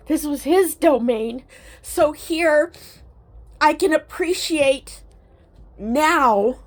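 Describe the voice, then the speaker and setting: A middle-aged woman sharing personal memories in a casual, reflective, sentimental and halting way, like a vlogger talking to her viewers. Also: sits high. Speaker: storytelling vlogger. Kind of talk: personal reminiscing to camera, casual chat.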